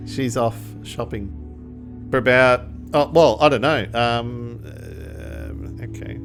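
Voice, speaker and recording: Woman, slow, hesitant, animated pitch, casual improvised roleplay, music behind. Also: gravelly. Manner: hesitant, searching for words. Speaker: player in a tabletop role-playing game. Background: background music.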